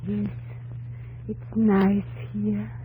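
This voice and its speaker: A woman's voice: mid-range voice, completely flat delivery, very slow, slight background noise. Young runaway servant woman, muffled radio sound. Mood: softening, grateful. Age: young adult. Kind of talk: 1950s radio drama acting. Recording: muffled, humming old radio recording.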